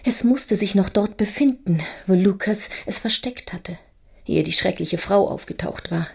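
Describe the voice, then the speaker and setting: A woman's voice: fairly low pitch, darkish tone, breathy edge. An actress playing an anguished wife confessing her secret, earnest and confiding, in a German radio drama.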